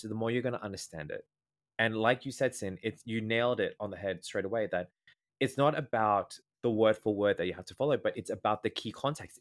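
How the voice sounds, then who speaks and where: An Australian man with a slightly nasal voice, a guest in a casual interview, earnestly explaining advice point by point. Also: on the low side. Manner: earnest, explanatory, lays out tips in ordered points. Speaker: guest speaker, former event MC.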